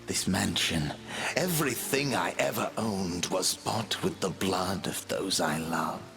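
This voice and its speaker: A man voicing the sorrowful ghost of a baron in a video game, speaking slowly and formally. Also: deep voice, creaky. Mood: remorseful. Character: remorseful ghost of a male baron. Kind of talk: dramatic video game voice acting. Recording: loud music and rain behind. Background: game music and rain.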